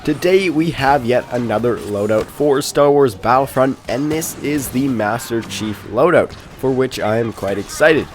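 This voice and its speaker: A man's voice: medium pitch, expressive, a little slow, few pauses, soft background music. A man with a stuffed-up, congested voice gives polite, scripted commentary over game footage as a channel host. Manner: polite, formal phrasing.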